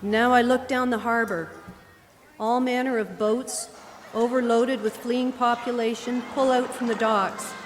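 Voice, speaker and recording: Woman doing a radio play newswoman reporting doom, high voice, big reverby church hall, bit of noise. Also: fairly even intonation, unhurried, lightly acted. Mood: urgent dread. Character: radio reporter witnessing an invasion, dread rising. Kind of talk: live radio drama acting. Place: live performance in a church. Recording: reverberant church hall, noisy live recording.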